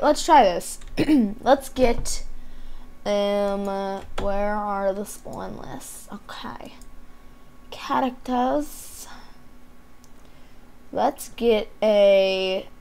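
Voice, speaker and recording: A woman's voice: medium pitch, darkish tone. A woman doing casual gameplay commentary, thinking aloud to herself, with a voice that is starting to give out. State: losing her voice, often clearing throat. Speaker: gamer hosting a gameplay video. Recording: background hiss, keyboard and mouse clicks.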